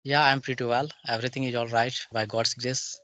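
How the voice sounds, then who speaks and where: A man with an East Indian accent practising English conversation in a formal, deferential and somewhat halting manner. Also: medium pitch, little pitch movement, fairly bright tone, no room sound. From Jharkhand, India.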